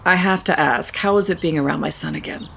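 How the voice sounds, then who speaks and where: A woman's voice: low voice, quick pace, gravelly, fairly bright tone, a little hiss or hum, exaggerated voice. An actress playing a genteel, protective middle-aged mother who holds grudges, in an audio soap opera. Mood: bitter.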